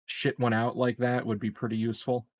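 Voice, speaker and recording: A man chatting at a tabletop game, rambling through his ideas with constant "like" and "you know" and casual swearing. His voice sits at an ordinary middle pitch. The sound is dry and close, with no room around it. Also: little pitch movement, fairly quick, dry recording. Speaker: player.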